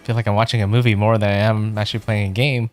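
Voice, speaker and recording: A man's voice: fairly low pitch, little pitch movement, fast talker. A man casually commenting while playing a video game, musing aloud at what he sees on screen. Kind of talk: casual let's play commentary.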